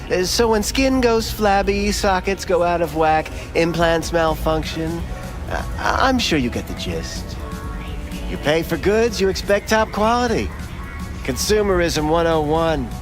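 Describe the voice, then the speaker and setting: A man playing a creepy, evasive back-alley cyberware doctor in a video game, with an oily, halting, theatrical manner. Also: a little high, sing-song, over music. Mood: smug, unctuous.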